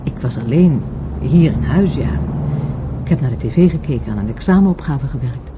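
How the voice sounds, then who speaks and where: An actress in a Dutch radio drama playing a wary woman questioned about her dead friend, with a husky voice. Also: rumbling low, lively intonation, normal speed, noisy background. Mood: defensive.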